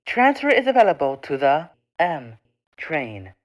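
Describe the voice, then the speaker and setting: Slow, low-pitched woman announcing train stops. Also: big pitch swings. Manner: measured, formulaic delivery in separate spliced phrases. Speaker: transit announcer. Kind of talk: scripted train stop announcements.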